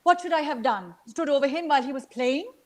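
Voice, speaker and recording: A woman acting an exasperated, defensive mother, higher-pitched. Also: lively intonation, fairly quick, darkish tone. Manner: indignant, snapping, questioning. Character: exasperated Sri Lankan mother, sharp and defensive.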